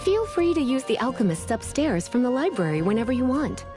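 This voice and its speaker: A woman's voice: sits high, big pitch swings, a little fast. A woman voicing a languid, teasing female mage and librarian in a fantasy video game, with a flirtatious, sleepy drawl. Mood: teasing, coy. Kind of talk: video game character voice lines. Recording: music behind the voice.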